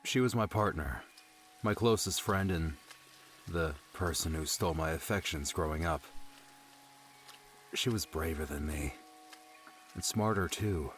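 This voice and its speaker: A man playing a grieving young male adventurer in an audiobook, confiding in a halting, reflective way. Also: deep voice, a little hiss or hum. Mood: wistful, tender. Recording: music under the voice.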